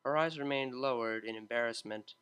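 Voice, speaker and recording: A man narrating a fan-written story in a steady, measured reading. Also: mid-range voice, smooth. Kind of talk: fanfiction audiobook narration.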